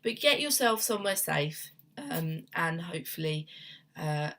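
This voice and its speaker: A young woman therapist with a cold, talking casually to camera at her desk at home, gentle and meandering with many ums and restarts. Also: low voice, sing-song, unhurried. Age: young adult. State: has a cold, sniffly. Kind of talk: casual self-help talk to camera.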